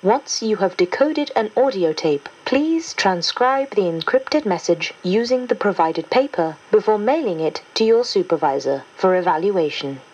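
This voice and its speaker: A woman playing a formal, impersonal agency instructor, reading scripted instructions in a measured, official way on a distant cassette recording. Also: medium pitch, animated, a little mellow, husky. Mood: formal, instructive. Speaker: instructional announcer. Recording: muffled, distant cassette tape.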